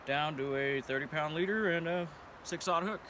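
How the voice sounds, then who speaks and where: A man's voice: a little high, moderate pace. A young man explaining step by step how he ties his fishing rig on a riverbank, in a laid-back, plainspoken and slangy way. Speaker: fishing vlogger. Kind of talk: casual how-to demonstration. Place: riverbank outdoors. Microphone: camera a few feet away. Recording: outdoor camera audio from a distance, steady background noise.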